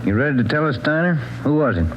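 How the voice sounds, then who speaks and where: A man's voice: fairly high pitch, lively intonation, quick pace, natural voice. Man as plain-spoken detective, noisy old radio. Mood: pressing. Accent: American. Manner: plain, matter-of-fact. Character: matter-of-fact male police detective, the sergeant's partner. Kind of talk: 1940s radio police drama acting.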